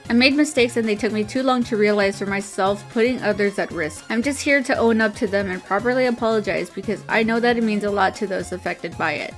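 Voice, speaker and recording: A woman's voice: mid-range voice, brisk, few pauses. A woman reading out a scripted personal apology statement. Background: background music.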